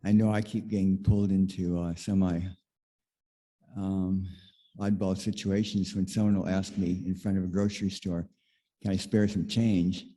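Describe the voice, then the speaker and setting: A man sharing a reflective personal anecdote in a group discussion, in a measured, plainspoken way. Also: low-pitched, little pitch movement, dark tone. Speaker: congregation member sharing in church discussion.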